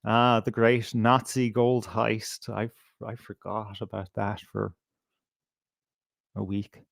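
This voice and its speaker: Slow-talking man, casual and rambling. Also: fairly low pitch, level tone, gravelly, no room sound, studio quality. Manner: rambling, sweary, easily distracted. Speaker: host of a live stream show. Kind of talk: casual unscripted live stream commentary.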